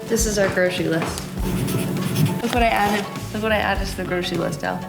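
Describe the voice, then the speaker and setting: A woman's voice: mid-range voice, fairly even intonation, brisk, gravelly. A young woman giving a casual, chatty craft tutorial, instructive with playful asides. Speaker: host, mother of a toddler. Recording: roomy echo, loud music behind. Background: music.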